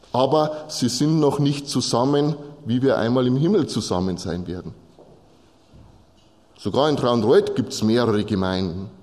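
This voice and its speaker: Male preacher, slightly high-pitched voice, explanatory sermon delivery with direct address, long hall reverb. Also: darkish tone. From Bavaria, Germany. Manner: explanatory, direct address with short repeated phrases. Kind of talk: sermon, teaching from Bible texts to a congregation.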